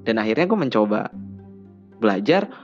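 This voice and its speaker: A man's voice: on the low side, very animated, moderate pace, lo-fi sound. Casual young man from Bandung over faint music. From Bandung, West Java, Indonesia. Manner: chatty Jakarta slang, acts out childhood dialogue in raised voices. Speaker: podcast host. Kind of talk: casual personal storytelling.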